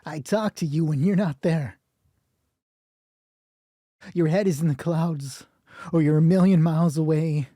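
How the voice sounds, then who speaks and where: A man's voice: fairly high pitch, measured pace, long pauses, dark tone, no room sound, clean studio sound. Man playing an aggrieved, exasperated husband, voice raised. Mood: accusing. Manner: accusing, exasperated, raises his voice. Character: whiny, aggrieved middle-aged balding husband, easily angered.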